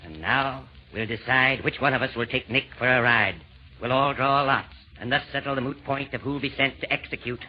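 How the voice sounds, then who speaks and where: A man's voice: medium pitch, normal speed, lightly acted. An actor playing a scheming chairman of villains in an old radio comedy, speaking in formal declaimed rhyme. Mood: officious. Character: smooth-scheming male chairman of hell's villains. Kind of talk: 1940s radio comedy acting. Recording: muffled, noisy old-time radio recording.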